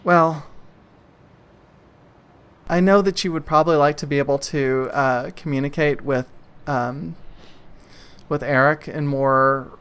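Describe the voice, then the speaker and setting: A woman game master improvising narration for a tabletop game in a halting, conversational way. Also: deep voice, fairly even intonation, clean tone. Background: soft music.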